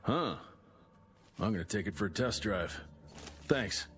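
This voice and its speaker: An actor playing a hot-tempered, sardonic American cowboy monster hunter in a video game, speaking gruffly in blunt, terse phrases. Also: male voice, fairly low pitch, animated, unhurried, a bit bright, a little hiss or hum. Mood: brusque. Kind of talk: video game cutscene acting.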